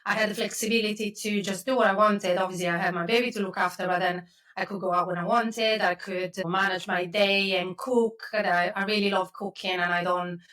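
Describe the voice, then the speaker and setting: A woman's voice: medium pitch, steady tone, a little fast, a bit bright. Italian-accented woman, distant mic. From Italy (Milan). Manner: chatty, with frequent restarts and fillers. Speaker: podcast guest, systems and automation expert. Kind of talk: casual interview talk. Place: home office, video call.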